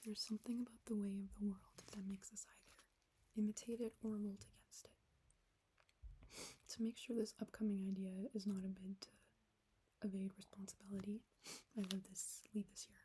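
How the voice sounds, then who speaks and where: A young adult woman with a breathy, whispery voice, reading her own reflective writing aloud to viewers in a subdued monotone. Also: mid-range voice, moderate pace, a little hiss or hum, rough recording. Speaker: vlogger.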